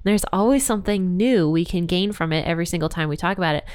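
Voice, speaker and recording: A woman's voice: on the low side, quick pace, a little mellow, smooth. A woman with a head cold, sounding congested, hosting a casual Bible devotional podcast and chatting directly to her listeners. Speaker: podcast host.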